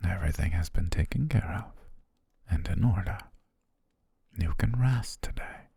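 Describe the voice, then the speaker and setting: Man acting as slow, husky, deep-voiced obsessive butler. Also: lively intonation, warm tone, no echo, exaggerated voice. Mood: calm controlling. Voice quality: breathy, husky, gravelly, often near whisper. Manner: tender, measured, coaxing, lingering pauses. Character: obsessive, doting male butler, softly menacing captor-fiancé. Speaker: ASMR roleplay voice actor. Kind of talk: intimate ASMR roleplay, slow whispery romance acting. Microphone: close, dry studio microphone.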